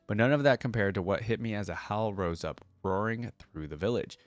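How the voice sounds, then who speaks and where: A man's voice: lowish voice, fairly quick, no room sound. A man reading story text aloud and reacting casually in between, as a video creator commenting on it.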